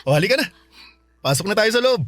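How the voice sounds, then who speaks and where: A man playing a gentle, reassuring uncle in a Filipino radio drama. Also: high voice, very animated, fast talker, soft background music. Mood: affectionate. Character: gentle, reassuring uncle leaving to work abroad.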